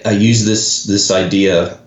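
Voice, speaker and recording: A man's voice: fairly low pitch, level tone, slow pace. Canadian man explaining thoughtfully, roomy sound. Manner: thoughtful, restarts phrases, explains with examples. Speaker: guest. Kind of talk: explanatory interview talk. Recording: roomy, dull lo-fi audio.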